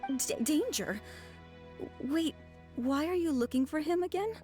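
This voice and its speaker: Huffy lovesick young researcher gal, high and animated, indignant game character with music under it. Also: female voice, unhurried. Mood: alarmed. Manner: indignant, emphatic. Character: lovelorn young female researcher, bitter, defensive, huffy. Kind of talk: video game voice acting.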